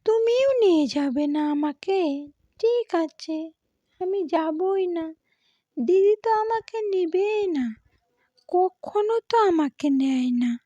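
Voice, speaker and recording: A woman's voice: extremely high pitch, expressive, slow pace, mellow, no echo, lo-fi sound, heavily acted. A woman voicing a sweet, sulky little girl in a cartoon, with a pleading, childlike manner.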